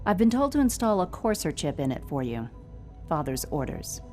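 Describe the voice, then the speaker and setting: Game scientist: deep female voice, tense, impatient, formal, faint music behind. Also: big pitch swings, normal speed, warm tone. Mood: brusque, impatient. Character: tense, brusque, work-obsessed adult female scientist. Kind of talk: scripted game dialogue.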